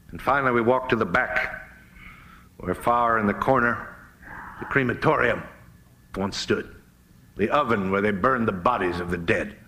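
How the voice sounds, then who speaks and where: A middle-aged American man delivering a dramatic sermon, telling stories in a solemn, emphatic, oratorical way with deliberate phrasing. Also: medium pitch, fairly bright tone. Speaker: preacher giving a speech. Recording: roomy, echoing live speech recording.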